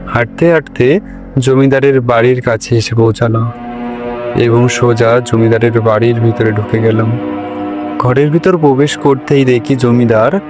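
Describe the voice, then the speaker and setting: Male cartoon storyteller over loud music. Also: medium pitch, steady tone, unhurried. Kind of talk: scripted cartoon story narration.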